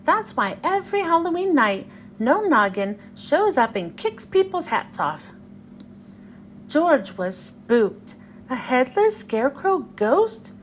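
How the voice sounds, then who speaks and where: High-pitched, animated woman reading a storybook. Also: slow, slight background noise. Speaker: host reading children's books aloud. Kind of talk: storybook read-aloud for children.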